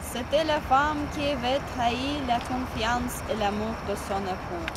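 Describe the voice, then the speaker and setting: A woman reading a tale aloud carefully, outdoors with loud traffic behind. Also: on the high side, steady tone, a little slow. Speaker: reader. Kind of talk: amateur story reading.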